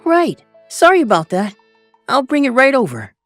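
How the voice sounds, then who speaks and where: A friendly young cafeteria lad, put on by a man, eager and polite. The voice is pitched up high and sounds deferential, as in a manga dub, with music underneath. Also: wildly swooping pitch, moderate pace, a bit bright, no room sound, exaggerated voice.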